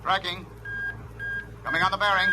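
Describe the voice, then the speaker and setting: An actor playing a businesslike spaceship gunnery officer in a 1950s radio drama, reporting in a terse military manner. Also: male voice, high voice, level tone, moderate pace, sharp tone. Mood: alert, businesslike. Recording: noisy vintage radio broadcast.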